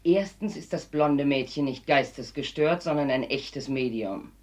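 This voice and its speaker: An actress playing a sharp, businesslike fortune-teller and landlady in a radio drama, speaking in a firm, threatening way. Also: extremely low pitch, measured pace. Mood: stern.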